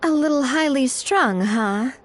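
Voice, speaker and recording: A woman's voice: high-pitched, lively intonation, unhurried. An actress playing a friendly, self-assured young woman in a video game, speaking in a conversational, reassuring way. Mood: thoughtful.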